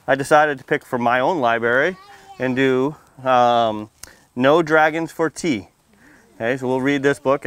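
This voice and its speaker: Clear, higher-voiced man at a children's storytime. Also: fairly bright tone, no echo. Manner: plain-spoken, friendly, addressing small children with questions. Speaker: firefighter and fire prevention educator reading at a children's storytime. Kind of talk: read-aloud storytime and casual talk to young children. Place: outdoors under a canopy on a library lawn.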